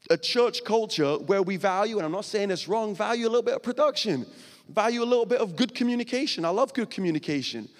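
A male pastor preaching a sermon on a church stage into a microphone, explaining in a conversational way with dramatic pauses. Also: high-pitched. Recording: long hall reverb.

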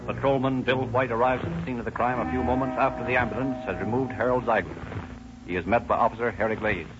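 A man's voice: medium pitch, steady tone, fast talker, background noise. A man narrating a 1930s radio drama from a script. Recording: dull old radio recording. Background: loud music.

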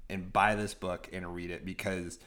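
Male podcast host, American, lower register, casual conversational delivery. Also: little pitch movement, normal speed, fairly bright tone.

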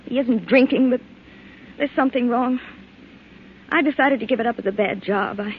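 An actress playing a distressed wife in old-time radio drama, soothing and pleading in a melodramatic way. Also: female voice, a little high, moderate pace, natural voice. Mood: weary, dismayed. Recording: noisy, muffled old radio recording.

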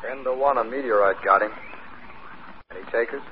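A man's voice: mid-range voice, fairly quick, lightly acted. Noisy dull old radio play, guy playing a mocking mutinous astronaut, sarcastic and sneering. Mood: bitterly resigned. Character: bitter, mocking, mutinous spaceman with nothing to lose. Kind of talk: 1950s radio drama acting.

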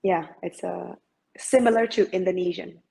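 Casting note: young woman from Malaysia, lowish voice with vocal fry, halting and chatty in an interview. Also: dark tone. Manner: hesitant, many fillers and restarts, laughs often. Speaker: interviewee, former competitive swimmer. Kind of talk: casual interview answers.

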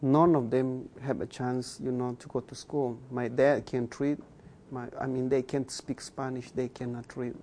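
Slow gravelly guy w a k'iche' mayan accent, earnest, repeats himself to make a point. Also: medium pitch, flat delivery, a little mellow, slight background noise. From Guatemala. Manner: earnest, repeats phrases for emphasis. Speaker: guest. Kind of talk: earnest interview talk.

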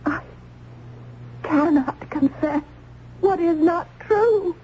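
A woman's voice: very high-pitched, slow pace. An actress playing a young maid accused of witchcraft in a radio drama, earnest, pleading and proudly defiant. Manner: earnest, pleading, formal archaic speech. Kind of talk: old-time radio drama acting. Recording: dull, noisy old radio recording.

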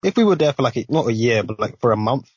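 A man's voice: medium pitch, lively intonation, quick pace, a little mellow, breathy edge, no echo. A man hosting a podcast, chatting casually with short musing remarks. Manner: brief backchannels and musing remarks. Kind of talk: casual chat. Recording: dry, dull lo-fi sound, mic at a distance.

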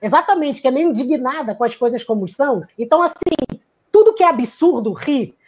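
High-voiced woman musing on laughter. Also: lively intonation, brisk, husky. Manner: musing, searching for words, acts out quoted lines. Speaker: theater performer giving a talk. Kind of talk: reflective talk on laughter.

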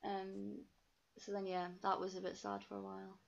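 Young geordie-ish posh woman, bit of vocal fry, chatting openly n informally, slightly muffled recording. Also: medium pitch, monotone, moderate pace. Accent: soft Geordie mixed with posh English. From North East England. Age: young adult. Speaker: podcast guest, ballet dancer. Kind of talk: casual chat.

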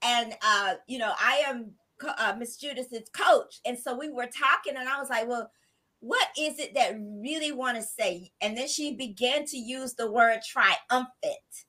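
A woman host with a bright, forceful voice talks effusively and with a preacher's enthusiasm. Her voice sits a little above average in pitch. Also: unhurried. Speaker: podcast host and writing coach. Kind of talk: hosting a podcast book launch.

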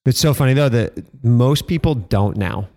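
A man's voice: medium pitch, animated, moderate pace, darkish tone. A man in his thirties from Denver, a podcast guest telling stories in a wry, self-deprecating way. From Denver, Colorado. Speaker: guest, restaurant guide co-founder. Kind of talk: casual podcast interview.

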